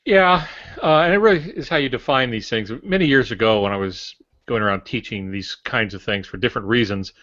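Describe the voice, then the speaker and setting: A man's voice: medium pitch, brisk, few pauses, a bit bright. American man in his sixties, casual. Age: 60s. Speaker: podcast co-host. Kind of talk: casual chat. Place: home office with shelves. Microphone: earphone headset on video call. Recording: dull, lo-fi video call audio.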